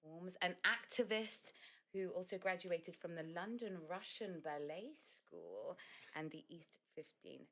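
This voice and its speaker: A woman's voice: on the low side, lively intonation, unhurried, a bit bright. A woman hosting a podcast, reading out guest introductions and asking questions in a playful, affectionate way. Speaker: podcast host. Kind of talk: podcast interview.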